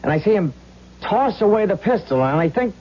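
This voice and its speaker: A flustered guy witness in an old radio play, stammers, swoopy pitch, muffled hissy tape. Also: very animated, fairly quick, breathy edge, full character voice. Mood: flustered, hesitant. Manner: stammering, hesitant. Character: flustered, confused witness groping for his memory. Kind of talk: 1970s radio drama acting. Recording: dull, noisy old radio recording.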